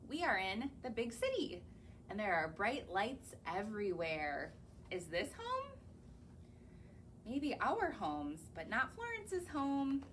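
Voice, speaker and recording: Young female librarian, story time; high, lively sing-song delivery, slow and coaxing. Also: a little hiss or hum. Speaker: children's librarian. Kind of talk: reading picture books aloud to young children. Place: library or home, recorded on camera.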